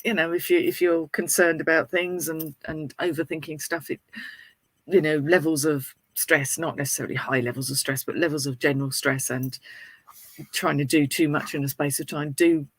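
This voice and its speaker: Casual British female co-host, husky, mildly nasal with a cold, dry recording. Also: deep voice, steady tone, normal speed, no room sound. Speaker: co-host of an interactive chat show. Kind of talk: casual chat.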